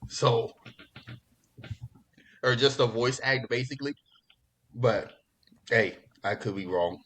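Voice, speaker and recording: A man hosting a podcast in casual pop culture chat, talking in an excitable, slangy, profane way. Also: mid-range voice, slow. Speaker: podcast host.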